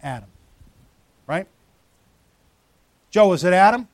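A man's voice: high voice, expressive, slow, dry recording. A pastor in his fifties giving a conversational sermon, teasing members of the congregation by name. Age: 50s. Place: church.

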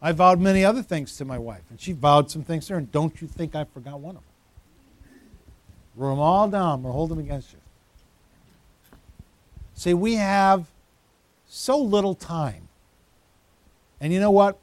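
A man's voice: a little high, moderate pace, pauses often. A pastor in his fifties giving a conversational sermon, teasing members of the congregation by name. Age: 50s. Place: church.

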